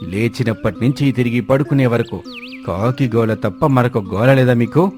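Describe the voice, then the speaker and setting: A man's voice: mid-range voice, normal speed, lightly acted. A man voicing an angry, threatening eagle who scolds and makes demands in a children's cartoon story. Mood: angry scolding. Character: angry, threatening eagle bossing smaller birds. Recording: music bed under the voice.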